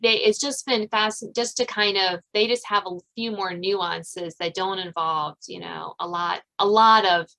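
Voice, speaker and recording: A woman's voice: mid-range voice, fairly even intonation, measured pace, vocal fry, hardly any pauses, bright, forceful tone, poor audio. A middle-aged woman, chatting casually as the host of an author interview.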